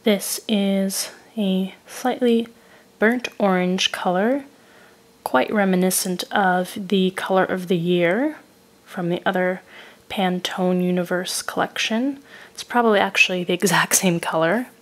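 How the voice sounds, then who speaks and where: A woman getting over a cold, calmly describing each product she tries in a methodical review voice-over. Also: mid-range voice, measured pace. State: recovering from a cold. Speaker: beauty reviewer.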